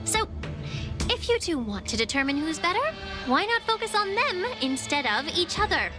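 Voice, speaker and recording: A woman playing a gracious, composed young woman in an anime video game, polite and measured as she gently steers. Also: extremely high pitch, sing-song, over music. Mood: gently diplomatic.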